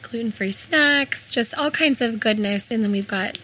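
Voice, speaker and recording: A woman with a raspy, hoarse voice from laryngitis, explaining her store conversationally in an informal interview as its co-owner. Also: on the high side, normal speed, sharp tone, a little hiss or hum, poor audio. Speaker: health food store co-owner.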